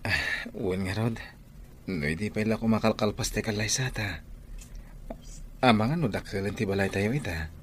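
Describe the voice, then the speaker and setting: A man's voice: on the low side, moderate pace, long pauses, close to an everyday voice. A man playing a humble young husband and dutiful son in an Ilocano radio drama, earnest and respectful, with an Ilocano Filipino accent. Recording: noisy background ambience.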